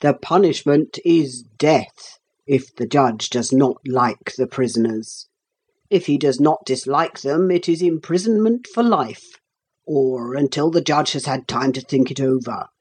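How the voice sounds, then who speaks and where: Woman acting a storybook judge, male, low, slow, stern, pompous, formal. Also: a little mellow, breathy edge, exaggerated voice. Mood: stern, solemn. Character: stern, solemn male judge pronouncing sentence.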